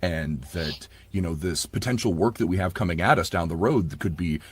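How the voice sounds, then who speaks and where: A man's voice: sits low, expressive, fairly quick, slightly rough, dry recording. A man speaking casually and earnestly as an interview guest on audiobook work, often padding his sentences with "you know.". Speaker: guest, audiobook narrator and producer.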